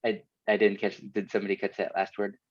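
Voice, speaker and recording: Male host, deep voice, friendly facilitator, lo-fi call audio. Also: monotone, no echo. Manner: friendly, apologetic, quick asides. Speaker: host of an online workshop. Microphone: video call.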